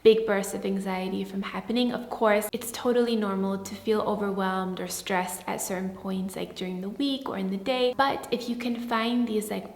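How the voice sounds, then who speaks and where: Young woman, Swedish-born, native Swedish speaker, soft earnest chat, echoey room, long reverb tail. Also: medium pitch. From Sweden. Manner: soft, earnest, often says "like". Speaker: vlogger answering viewer questions. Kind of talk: casual Q&A to camera.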